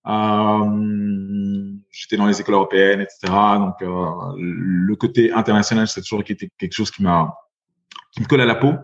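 A man in his forties from Belgium, a podcast guest talking in a halting way, with many fillers and repeated words. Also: lowish voice, flat delivery, roomy sound, highs cut off. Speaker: guest, investor. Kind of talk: podcast interview.

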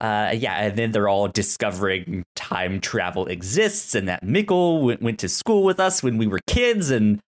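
A man chats casually as a podcast co-host. His delivery is halting, circling back over words as he thinks, and his intonation moves widely up and down. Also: lowish voice, expressive. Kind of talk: casual discussion of a TV drama.

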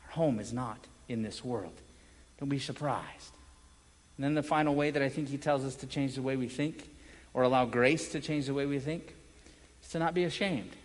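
A man preaching an evangelical sermon as a pastor, speaking in emphatic phrases broken by dramatic pauses. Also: mid-range voice. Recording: echoey hall with long reverb.

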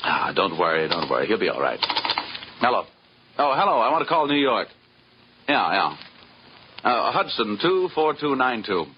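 A man's voice: on the low side, very animated, normal speed, long pauses, a little hiss or hum. An American man acting a wisecracking, hard-boiled insurance investigator in a 1950s radio detective drama, with glib, sardonic patter. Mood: brisk, persuasive. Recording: dull vintage radio recording with music.

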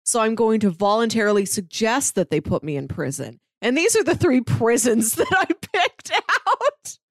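A woman's voice: on the high side, sing-song, normal speed, no room sound, clean studio sound. A woman co-hosting a podcast, chatting casually and retelling scenes by voicing what the characters think. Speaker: podcast co-host. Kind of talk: casual comic book review chat.

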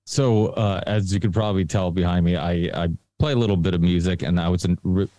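A man's voice: low voice, fairly even intonation, a little fast, warm tone, no room sound. A man, a musician who is the guest on a podcast, telling his story in an easygoing way. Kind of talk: casual interview answer.

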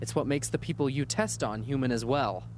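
A man's voice: medium pitch, a little fast, natural voice. Man playing an earnest, troubled doctor, faint hum. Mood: firm, principled. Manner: earnest, hesitant, polite. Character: earnest, soft-hearted male doctor troubled by unethical research. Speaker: actor in a student audio drama. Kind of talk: audio drama acting.

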